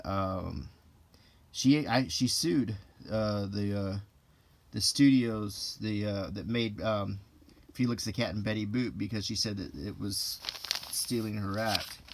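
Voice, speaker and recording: A man reading an old printed text aloud in an ASMR style, unhurried and low-key, with casual offhand asides. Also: a little mellow.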